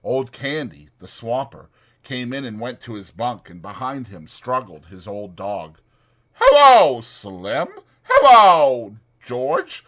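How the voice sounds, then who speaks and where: A man's voice: lowish voice, animated, unhurried, fairly bright tone. A man reading a story aloud as an audiobook narrator, in a dramatic scripted style.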